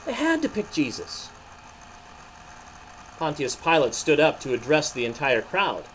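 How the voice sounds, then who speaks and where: A man's voice: on the high side, huge pitch swings, unhurried, a bit bright, a little hiss or hum, rough recording. A man, a pastor, reading a story and talking to children in a dramatic, emphatic way. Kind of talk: animated storybook narration and children's devotional.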